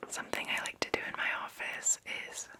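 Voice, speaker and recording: A woman in her twenties speaking in a soft, unhurried whisper, gently soothing like a therapist in an ASMR roleplay, close to the microphone. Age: 20s. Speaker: ASMR performer playing a therapist. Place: small cozy indoor room. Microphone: close ASMR microphone, just off camera.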